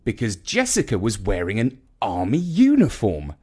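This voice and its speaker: A man reading a children's story in an expressive narrating style. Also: on the low side, normal speed, husky.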